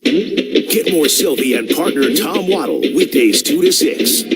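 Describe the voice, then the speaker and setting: Unhurried station promo man, loud music. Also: fairly high pitch, big pitch swings, vocal fry. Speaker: radio station promo announcer. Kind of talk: scripted station identification.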